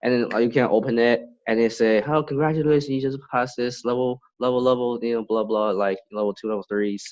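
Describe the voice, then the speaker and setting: A young man from Beijing with a Chinese accent, chatting casually as a guest and often pausing to search for words. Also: mid-range voice, steady tone, a little mellow, highs cut off. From Beijing, China. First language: Mandarin Chinese. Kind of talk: casual chat.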